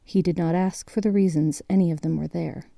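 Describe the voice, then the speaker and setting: A woman playing the composed narrator of a grim documentary-style mystery, reading in a measured, matter-of-fact way. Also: on the low side, steady tone, creaky, dark tone, no room sound, studio quality. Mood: measured, grave.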